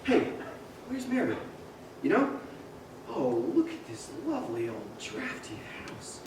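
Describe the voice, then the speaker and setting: A male actor plays an elated, frantic family man who exclaims everything with breathless joy. His pitch is high and swings widely. It is a stage radio play, caught from a distance in a very echoey hall. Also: wildly swooping pitch, takes it slowly, creaky, full character voice. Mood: giddy joy. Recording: roomy theater stage, distant mic, faint noise.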